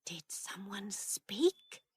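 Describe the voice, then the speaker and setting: A woman voicing a haughty older aunt with a guilty secret, in a slow, dramatic, breathy delivery. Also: medium pitch, fairly even intonation, in a large hall, far from the mic, lightly acted. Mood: frightened, pleading.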